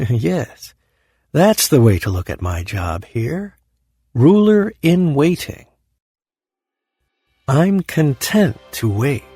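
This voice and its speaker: A man's voice: on the high side, very animated, slow, pauses often, warm tone, husky, dry recording, soft background music, heavily acted. An actor playing a scheming, anxious underling who tells his own story in a first-person audio drama. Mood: resigned, scheming. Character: scheming damned man, anxious, self-pitying, ambitious underling.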